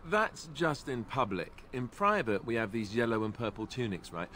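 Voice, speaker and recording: An actor playing a self-deprecating young ex-Templar warrior in a video game, with dry, joking delivery. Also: male voice, mid-range voice, very animated, moderate pace, pushed and bright, slight background noise. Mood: wry, joking. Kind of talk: scripted video game dialogue.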